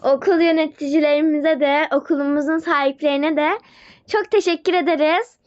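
Woman as a brisk, formal kindergarten announcer. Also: sits high, fairly even intonation, lightly acted. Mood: formal, grateful. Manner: formal, rattled-off thank-you speech. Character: polite, brisk kindergarten show announcer thanking parents. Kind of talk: hobby doll-game roleplay. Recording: muffled audio under loud music. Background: loud music.

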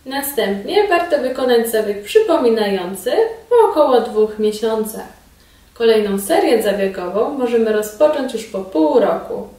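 A woman in her thirties giving formal, measured scripted instructions as a trainer in a small indoor room. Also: on the high side, expressive, warm tone. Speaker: instructor presenting a beauty device. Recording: roomy echo, distant mic.

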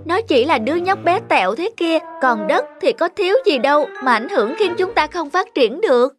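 A woman's voice: sits high, lively intonation, brisk. A woman voicing a young, earnest cartoon plant with an exclamatory, plaintive, childlike manner. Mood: alarmed, protesting. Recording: music behind the voice.

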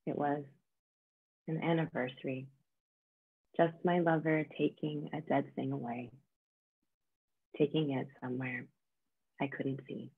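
A woman in her thirties, a poet, reading in a measured, solemn manner over a video call. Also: extremely low pitch, steady tone, husky. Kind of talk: poetry reading. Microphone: computer mic on a video call. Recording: dull, band-limited video-call audio.

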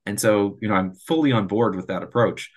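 A man's voice: fairly low pitch, a little fast. A man in his thirties giving an earnest, explanatory answer on a video call, often restarting his words. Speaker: presenter, space project developer. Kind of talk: explanatory presentation and Q&A. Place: small room at home or office, on a video call. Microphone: video call computer microphone.

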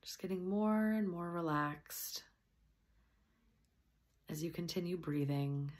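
A woman softly and gently leading a guided meditation, at times slipping into a breathy near-whisper. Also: lowish voice, slow pace, slight background noise.

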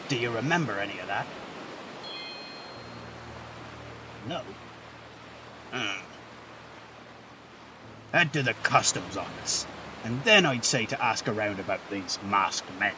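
An actor playing a genial, folksy ship captain in a fantasy game dub. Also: male voice, medium pitch, recorded from a distance, background noise. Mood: helpful, concerned. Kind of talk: video game character dub.

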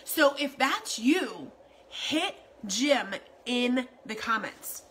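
A woman's voice: a little high, animated, very slow, a bit bright, a little hiss or hum, poor audio. A woman in her forties talking casually and repetitively on a phone live stream from her home kitchen, promoting a wellness product in a rambling, salesy way. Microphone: phone camera at arm's length.

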